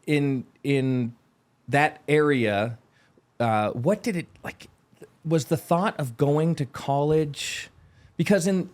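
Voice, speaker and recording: A man hosting a podcast, asking eager, wordy questions that often restart mid-sentence. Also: medium pitch. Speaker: podcast host. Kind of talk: casual interview chat.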